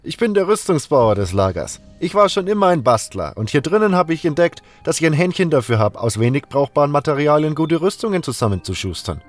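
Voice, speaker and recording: A calm hunter who builds armor in a fantasy audio drama , acted out by a male reader. His voice sits a little high and he talks plainly and without hurry, like a quiet man who opens up when asked. Music plays softly behind him. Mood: friendly, proud. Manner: unhurried, matter-of-fact, talkative once engaged.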